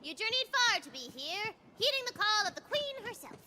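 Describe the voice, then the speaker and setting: A woman voicing an excitable, bossy young girl who plays theatrical game master in a video game. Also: extremely high pitch, a little fast, background noise. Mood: dramatic. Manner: playful, dramatic, bossy.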